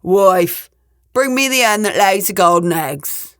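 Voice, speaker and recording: A woman voicing a huge, gruff, bossy male man-eating ogre in a storybook, with commanding declamation. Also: mid-range voice, lively intonation, unhurried, a bit bright, no echo, clean studio sound.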